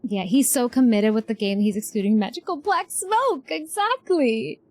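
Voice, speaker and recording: Lively woman streamer reacting to a game. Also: fairly high pitch, moderate pace. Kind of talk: casual live commentary. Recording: music under the voice. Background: game music.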